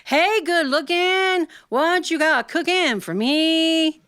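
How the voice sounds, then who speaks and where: A woman's voice: very high voice, slow, fairly bright tone, no room sound, pitched above the performer's own voice, heavily acted. Casting: woman performing playful American farmer father in a family story, affectionate, hearty, fond of sayings, dry close sound. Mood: playful singing. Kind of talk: acted quotes within live storytelling.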